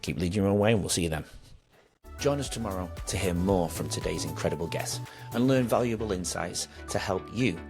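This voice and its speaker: A man's voice: deep voice, brisk, music in the background. Earnest man hosting a podcast. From England. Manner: rambling, earnest, encouraging. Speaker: podcast host. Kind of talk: casual interview chat and scripted outro.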